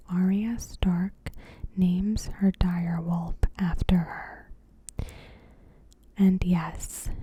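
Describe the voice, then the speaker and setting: A woman ASMR host whispering a scripted recap in a breathy, gentle and deliberate way. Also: lowish voice.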